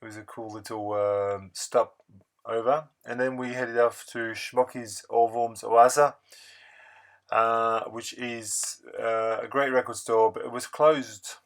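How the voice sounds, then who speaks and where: A man narrating a casual vlog voice-over in a halting, unscripted, rambling way. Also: mid-range voice, level tone. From France. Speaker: record-collecting video blogger.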